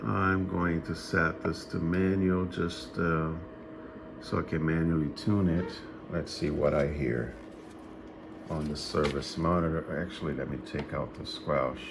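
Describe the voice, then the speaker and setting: A man with a low-pitched voice talks slowly through a hands-on equipment demonstration. He is halting and matter-of-fact, with a lot of creak in his voice. The recording has clear background noise. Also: fairly even intonation. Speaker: radio hobbyist demonstrating equipment.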